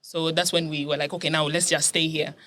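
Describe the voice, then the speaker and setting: A woman's voice: low voice, flat delivery, fairly quick, fairly bright tone, a little hiss or hum. A young woman from Kenya telling her life story as a guest in a casual chat, laughing often.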